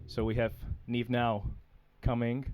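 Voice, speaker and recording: A man's voice: mid-range voice, level tone, unhurried, a crowd in the background. A man co-hosting in casual banter, often agreeing with his partner and welcoming the guests. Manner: agreeable, echoes his co-host with "yeah, absolutely". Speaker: co-host. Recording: dull sound with music behind.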